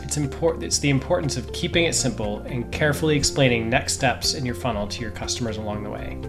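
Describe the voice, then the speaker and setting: A man hosting a podcast, asking questions in a friendly, conversational way. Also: mid-range voice. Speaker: podcast host.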